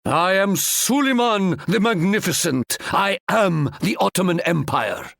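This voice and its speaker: A man voicing a proud, boastful male sultan in a video game, speaking in a grand, measured way and fond of stately proverbs. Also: fairly high pitch, vocal fry, a bit bright, no room sound, studio quality, full character voice.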